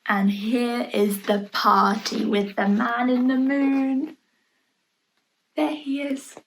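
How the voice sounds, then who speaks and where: A woman reading a children's picture book aloud in an expressive storytelling manner, pausing to point out the pictures. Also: a little high, slight background noise, low-quality recording. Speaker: storybook reader.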